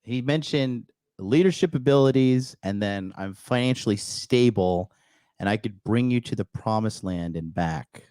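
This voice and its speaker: Lowish guy riffing sarcastic on a dating vid, dry close mic. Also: moderate pace, warm tone, smooth, no echo. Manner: sarcastic, crude jokes. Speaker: podcast host reacting to a clip. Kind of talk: mocking commentary.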